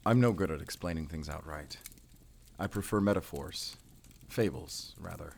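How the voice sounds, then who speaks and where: A man playing a brooding, world-weary werewolf hunter in an audio roleplay, speaking in a measured, solemn, introspective way. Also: very deep voice, vocal fry, slight background noise. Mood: weary, guarded. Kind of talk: cinematic audio roleplay acting.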